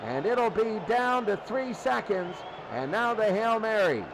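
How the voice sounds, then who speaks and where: High-pitched man calling football, noisy recording. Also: lively intonation, measured pace, crowd noise. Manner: short clipped phrases. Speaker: football play-by-play announcer. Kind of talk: live sports commentary.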